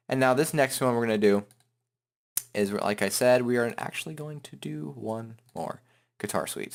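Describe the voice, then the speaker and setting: A man hosting a casual, improvised software tutorial, talking in an informal, rambling way. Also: medium pitch. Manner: informal, rambling, hums filler syllables while working. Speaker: tutorial host.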